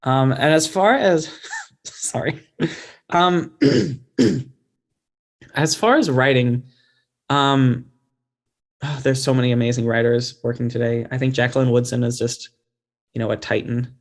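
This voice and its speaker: Male guest author, mid-pitched, conversational, thoughtful and self-deprecating in an informal interview. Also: slow pace, pauses often.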